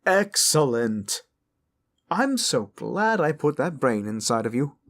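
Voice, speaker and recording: A man's voice: medium pitch, sing-song, takes it slowly, a bit bright, exaggerated voice. A man voicing a polite, scheming lich necromancer in a fantasy audio roleplay, genteel yet commanding and wheedling. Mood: sly, coaxing.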